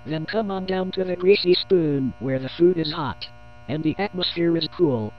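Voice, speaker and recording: A man's voice: a little high, normal speed, slight background noise. A man reading a scripted local television commercial as its announcer. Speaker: advert announcer. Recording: dull lo-fi old TV advert.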